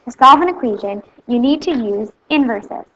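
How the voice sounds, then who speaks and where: A woman teacher calmly explaining math steps in a recorded video lesson. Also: on the high side, normal speed, muffled recording, slight background noise. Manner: plain, steady step-by-step explaining.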